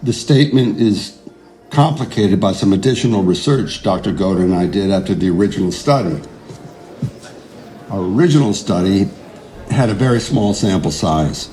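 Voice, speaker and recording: An actor playing an earnest, idealistic neuroscientist in an audio drama, speaking in a measured, deliberate way. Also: male voice, deep voice, dark tone, close to an everyday voice. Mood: measured, grave. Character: earnest idealistic male neuroscientist, defiant under pressure. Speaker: neuroscientist, lead character. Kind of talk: audio drama narration and dialogue.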